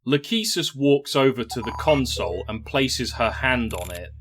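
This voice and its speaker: Male narrator, scripted fantasy story, expressive and dramatic, medium pitch, noticeable noise on the recording. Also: moderate pace.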